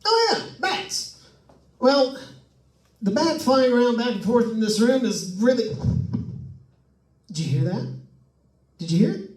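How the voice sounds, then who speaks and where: A man's voice: very high voice, big pitch swings, unhurried, long pauses, exaggerated voice. A man playing a nervous, chatty radio host alone in a haunted house, stammering and rambling in an old-time radio suspense style. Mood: jittery. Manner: stammering, jittery, rambling with forced cheer. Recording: echoey room, distant microphone.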